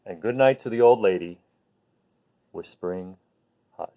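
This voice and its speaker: A man hosting a children's bedtime read-aloud, talking gently and simply to viewers in an unhurried way. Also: lowish voice. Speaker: host reading picture books to children. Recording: phone-like narrow-band sound.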